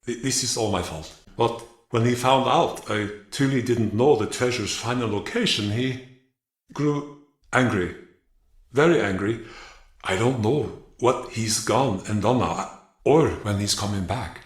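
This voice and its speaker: A man playing an earnest, remorseful captive in a video game, speaking with a halting, dramatic manner. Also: medium pitch, lightly acted. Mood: fearful, remorseful. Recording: roomy, echoing space.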